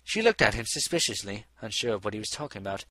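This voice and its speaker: A man's voice: lowish voice, very animated, fast talker, bright, forceful tone. A man narrating a story as an audiobook reader, in scripted prose narration.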